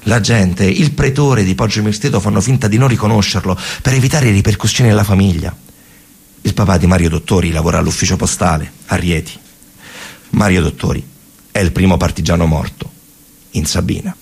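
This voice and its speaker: A man's voice: deep voice, a little fast, vocal fry, darkish tone, breathy edge. A man with a central Italian accent from Lazio delivers a dramatic narrative theatre monologue, colloquial and emphatic, repeating phrases for effect. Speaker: storyteller actor in a radio theatre monologue.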